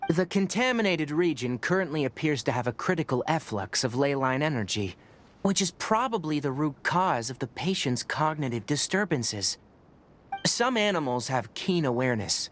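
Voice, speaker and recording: A man's voice: mid-range voice, animated, a bit bright, lightly acted. A man playing a precise young ranger scholar. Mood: explaining. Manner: measured, lecturing, matter-of-fact. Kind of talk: video game voice acting.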